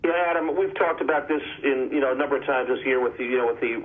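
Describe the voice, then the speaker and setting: Male reporter, average pitch, wordy and hesitant press questioning, band-limited telephone audio. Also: racing. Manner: wordy, hesitant, often says you know. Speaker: newspaper reporter asking questions. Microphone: phone call. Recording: muffled phone conference line.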